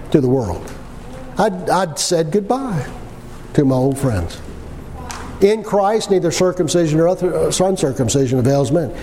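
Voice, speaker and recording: Man, 60s, for sermon delivery: folksy and emphatic, very lively intonation, noisy recording. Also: a little high. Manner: folksy, conversational; emphatic repetition with rhetorical questions. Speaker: preacher. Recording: long hall reverb with background noise.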